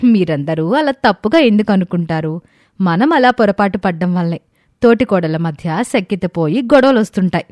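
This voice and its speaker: A woman's voice: mid-range voice, lively intonation, moderate pace, darkish tone, no room sound, close to an everyday voice. A woman playing a young village daughter-in-law who speaks earnestly and firmly in a storybook. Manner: earnest, firm, clearly spoken.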